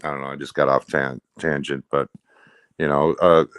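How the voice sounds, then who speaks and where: A man's voice: very deep voice, little pitch movement, normal speed, fairly bright tone, smooth, no echo, studio quality. An American man in casual conversation, talking in a blunt, rambling way. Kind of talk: casual chat.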